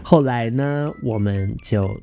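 A man's voice: medium pitch, slow pace, warm tone, faint music behind. A man in his thirties with a Taiwanese Mandarin accent, hosting a podcast and telling a personal story in a theatrical, playful way. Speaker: podcast host.

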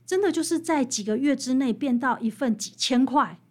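A woman with a Taiwanese Mandarin accent, explaining things as an interviewed author in an animated, emphatic storytelling manner. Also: high voice, moderate pace. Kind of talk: expressive explanatory interview talk.

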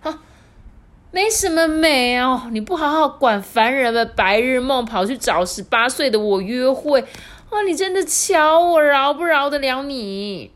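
A fiery old goddess of dreams bursts out in shrill, scolding cries with a wildly swinging pitch, as a woman storyteller puts her on. She is jealous and hot-tempered, every line a loud reproach. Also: very animated, a little slow. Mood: furious.

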